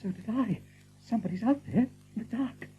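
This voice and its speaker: A woman's voice: on the high side, brisk, muffled recording, soft background music, close to an everyday voice. A woman voicing a small, timid but eager young rabbit in an audio drama. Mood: fearful.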